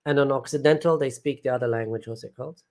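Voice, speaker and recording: A man's voice: medium pitch, fast talker. A man hosting a casual vlog chat, explaining things and prompting his co-host with questions.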